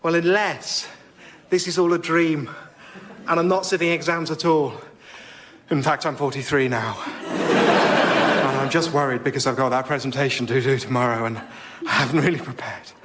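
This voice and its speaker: A man's voice: medium pitch, steady tone, moderate pace, background noise, close to an everyday voice. A man playing a fussy, earnest, slightly pompous fellow in radio sketch comedy, articulate but hesitant. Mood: anxious, puzzled. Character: fussy, earnest storyteller and anxious exam-taker.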